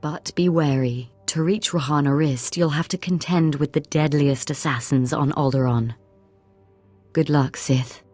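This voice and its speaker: A woman's voice: sits low, steady tone, unhurried, darkish tone, soft background music. An actress playing a scheming, gossip-loving noblewoman in a sci-fi video game, polished and conspiratorial. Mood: cautioning.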